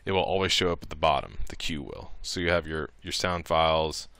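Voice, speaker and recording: A man with a low voice walks through a software tutorial in a casual, informal way. His tone is bright and the sound is dry and close, and he thinks aloud as he goes. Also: a little fast, creaky, clear, resonant tone, dry recording. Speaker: tutorial narrator.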